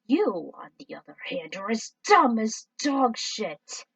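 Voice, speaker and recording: A woman's voice: a little high, sing-song, takes it slowly, creaky, a bit bright, slightly rough, dry recording, exaggerated voice. A woman voicing a haughty, sneering male alien crime lord in a storybook reading, recorded from a distance. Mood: scornful.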